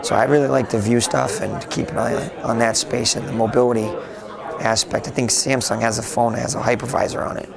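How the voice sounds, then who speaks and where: A raspy, gravelly-voiced man chats loosely in an interview, doubling back and restarting his sentences as he goes. He sounds a bit hoarse from a long day of talking. Busy crowd chatter hums behind him on a convention floor. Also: mid-range voice, steady tone, fairly quick. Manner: rambling with frequent restarts. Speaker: interviewee, tech blogger. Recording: noisy crowded convention hall.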